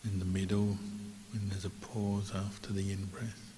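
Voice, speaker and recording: Low, even-voiced man guiding meditation, hall reverb. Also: faint music behind. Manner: calm, measured, with long pauses. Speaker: Buddhist monk, meditation teacher. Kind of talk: guided meditation instruction. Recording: echoey hall reverb with clear hiss.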